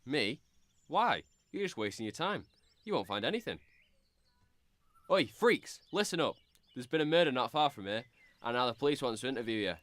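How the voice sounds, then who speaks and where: A scornful, money-grubbing circus owner, acted by a man for a radio drama. He is brash and dismissive, with pitch that leaps about. The recording is very dry. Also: lively intonation, normal speed, a bit bright, dry recording, lightly acted. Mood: indignant, scornful. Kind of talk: amateur radio drama acting.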